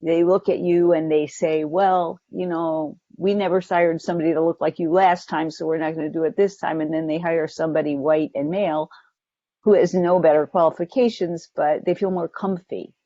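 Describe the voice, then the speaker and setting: Mellow steady panel speaker, dry lo-fi. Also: mid-range voice, normal speed, no room sound. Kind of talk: panel discussion. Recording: dry, dull lo-fi audio.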